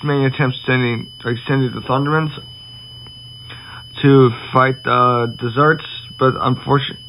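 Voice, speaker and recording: Male amateur as solemn government official announcing the apocalypse, halting and repetitive delivery, mid-pitched, slightly noisy recording. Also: bright, forceful tone. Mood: halting, regretful. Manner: halting, stumbling, repeats himself. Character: grave government spokesman announcing the end of the world. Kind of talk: solemn emergency broadcast address.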